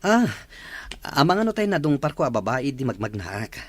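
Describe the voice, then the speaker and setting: A man playing an easygoing, evasive husband and father with a husky voice in an audio drama. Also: medium pitch, sing-song, normal speed. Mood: evasive, placating.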